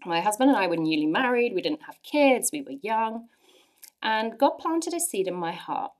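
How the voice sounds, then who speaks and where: A woman in her forties with an English accent, earnestly sharing a personal faith testimony with lively emphasis. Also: mid-range voice, moderate pace. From England. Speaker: church speaker sharing testimony.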